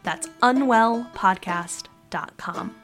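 A woman's voice: on the high side, slow pace, fairly bright tone. A woman giving a casual podcast merch promo in a chatty, wry manner. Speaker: podcast show member. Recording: music behind.

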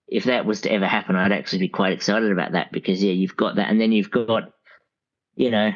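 A man speaking as an expert guest in a casual podcast discussion, explaining things in a rambling, halting way. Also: fairly low pitch. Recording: dull, lo-fi audio.

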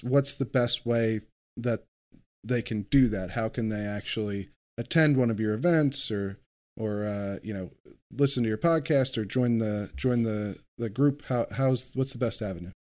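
A man's voice: medium pitch, darkish tone. Male podcast host asking questions. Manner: searching questions with fillers. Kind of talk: interviewing guests.

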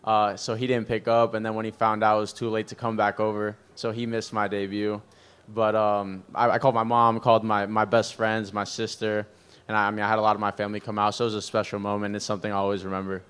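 Young guy on a panel, low smooth voice, pretty flat, just answers straight. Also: quick pace. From born in Peru, Venezuelan family. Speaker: baseball player panelist.

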